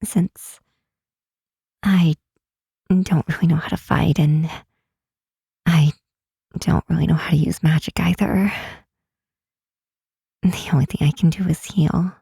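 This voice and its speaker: A woman playing a timid, self-doubting young fantasy healer in an ASMR roleplay, speaking softly and haltingly in a breathy half-whisper. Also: low-pitched, fairly even intonation, no room sound, clean studio sound, full character voice. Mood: anxious, self-doubting. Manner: halting, sighing, unsure delivery. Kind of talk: ASMR roleplay, intimate and hesitant. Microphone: close, dry microphone.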